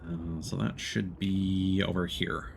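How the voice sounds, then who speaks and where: A man giving casual commentary while playing a co-op game, guiding a teammate and thinking aloud haltingly. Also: low voice, flat delivery, gravelly, background noise. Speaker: streamer guiding a co-op player.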